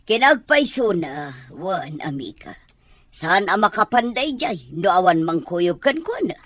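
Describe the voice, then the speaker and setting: A woman's voice: lowish voice, sing-song, a little slow, a bit bright, dry recording, heavily acted. An actress playing a protective, worrying mother and wife in a radio drama. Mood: surprised, worried.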